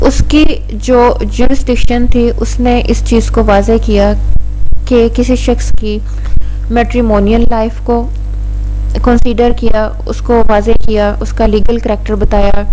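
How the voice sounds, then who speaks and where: Higher-pitched, mellow woman lecturing. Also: rough recording. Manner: explanatory, conversational, step by step. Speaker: law lecturer. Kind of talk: exam-prep lecture, reading out articles and explaining them.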